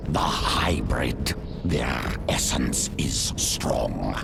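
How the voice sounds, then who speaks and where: A man's voice: extremely low pitch, animated, vocal fry, music in the background, exaggerated voice. A man playing a huge primal alien beast in a video game, speaking bluntly in a guttural growl. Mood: menacing, resolute. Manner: slow, blunt, primitive speech. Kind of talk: video game creature acting.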